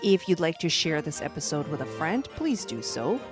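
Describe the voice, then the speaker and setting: Animated lower-pitched woman hosting storytime over music. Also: moderate pace. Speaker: podcast host. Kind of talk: scripted children's storytime intro and outro.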